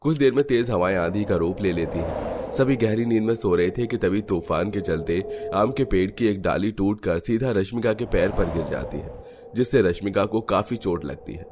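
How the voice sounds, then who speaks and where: A man's voice: lowish voice, moderate pace, background noise. A man narrating a scripted cartoon family story.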